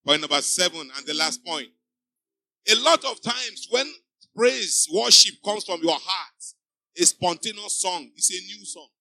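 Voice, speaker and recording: High, bright pastor preaching. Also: male voice, expressive, unhurried, dry recording. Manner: exhorting, emphatic preaching with repeated refrains. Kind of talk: sermon. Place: church before a live congregation.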